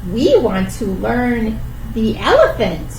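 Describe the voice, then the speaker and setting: A woman voicing tiny, eager storybook squirrels, squeaky and pleading. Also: huge pitch swings, slow, heavily acted. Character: tiny eager squirrels begging to learn dancing. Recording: roomy, distant mic, faint noise.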